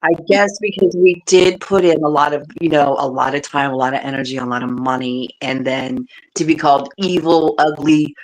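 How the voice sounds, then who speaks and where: A woman's voice: low voice, steady tone, normal speed, hardly any pauses, poor audio. A woman with a nasal, congested voice and a cough, chatting casually and bluntly with swearing as a livestream co-host. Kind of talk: casual chat.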